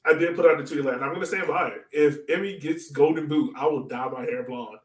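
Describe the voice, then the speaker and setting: Echoey, higher-pitched man chatting sports. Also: fast talker. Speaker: co-host of a soccer fan podcast. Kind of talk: casual sports chat, match breakdown. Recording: echoey room, far from mic.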